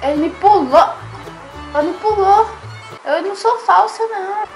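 A woman's voice: extremely high pitch, moderate pace, over loud music, poor audio. A young woman in her twenties with a Brazilian Portuguese accent, chatting with strangers on a webcam with teasing, sarcastic banter from her home kitchen. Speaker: YouTuber. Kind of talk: casual webcam chat with strangers. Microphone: laptop webcam mic.

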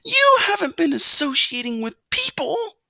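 A very high, piercing mother mouse from a storybook, forever anxious and fussing. She scolds and pleads in a bright, squeaky pitch that swoops about. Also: sing-song, unhurried, creaky, dry recording, heavily acted. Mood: scolding, frightened. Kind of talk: children's storybook character voice.

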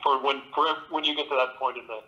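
A man, an actor and audiobook narrator, being interviewed and telling stories in a relaxed way with plenty of fillers and restarts. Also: medium pitch, fast, lo-fi sound. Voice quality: big voice. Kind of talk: conversational interview.

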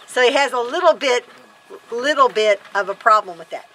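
A woman's voice: fairly high pitch, animated, normal speed, sharp tone, slight background noise, rough recording. A woman in her fifties chatting casually to camera outdoors on a wooden deck, rambling and correcting herself as she talks. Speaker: homesteader vlog host.

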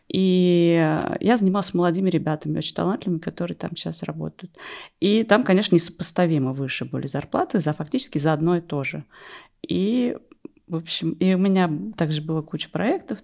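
A woman's voice: on the low side, vocal fry, a little mellow. A middle-aged woman from Russia telling her story as a podcast guest, wry and self-deprecating, stopping to correct herself, close on a studio mic. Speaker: interview guest, opera rehearsal pianist. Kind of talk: conversational podcast interview, personal storytelling. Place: small furnished podcast studio. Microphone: close studio microphone on a stand.

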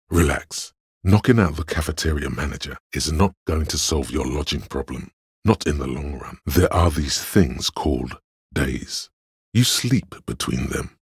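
Very deep, husky voice of reason. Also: male voice, dry recording, clean studio sound, full character voice. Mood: dry, analytical. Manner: measured, deliberate, dryly sardonic. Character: cool analytical male inner voice of reason, dry and skeptical. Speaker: voice actor. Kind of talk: video game inner-voice narration.

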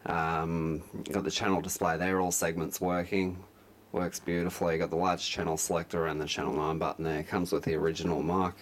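A man casually walking through the controls of a CB radio at home, talking in a relaxed, chatty way. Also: sits low, brisk. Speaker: radio seller demonstrating a CB set. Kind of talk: casual product demonstration. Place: indoors, room at home.

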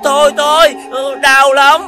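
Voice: sits high, flat delivery, measured pace, sharp tone. An actor voicing a tearful, sick little ghost who whines and complains in a children's cartoon. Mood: whiny, sulky. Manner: whiny, sneezing complainer. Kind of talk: children's animated cartoon voice acting. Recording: music under the voice.

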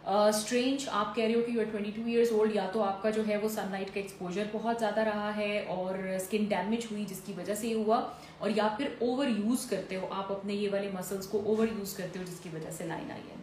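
A woman's voice: medium pitch, fairly even intonation, moderate pace, darkish tone. Lady skin doctor in her 40s answering live questions, chatty, lots of "uh"s, echoey room with a bit of hiss. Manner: conversational and advisory, with frequent "uh" fillers. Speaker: dermatologist answering viewer questions. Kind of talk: live-stream Q&A, reading comments aloud. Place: indoor room, seated in a fur-draped chair. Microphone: phone or camera on a stand, mid framing.

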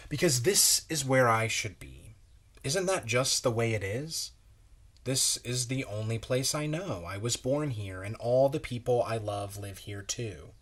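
A man in his thirties reading a children's picture book aloud in a measured, wondering way with pauses. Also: mid-range voice, expressive, fairly bright tone, breathy edge. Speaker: children's story reader. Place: small bright room at home, indoors.

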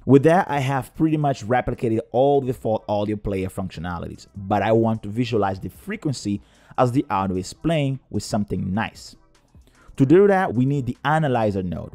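A man's voice: medium pitch, darkish tone, dry recording. A man narrating a scripted step-by-step coding tutorial in a methodical, explanatory way. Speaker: tutorial presenter.

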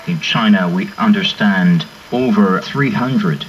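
A man's voice: on the low side, slow. A man speaking on a shortwave broadcast, heard through an old radio speaker. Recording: distant, dull, noisy shortwave reception.

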